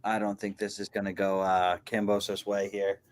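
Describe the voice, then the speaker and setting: A man co-hosting a casual watch-along, calling the fight's action in a relaxed way and chuckling as he goes. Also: fairly low pitch, normal speed. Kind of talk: casual play-by-play sports chat.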